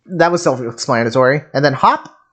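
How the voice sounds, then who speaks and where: A man gives casual live commentary on a game. His voice sits at a middling pitch. He is excitable and jokey, and he rambles as he reacts. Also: a little fast.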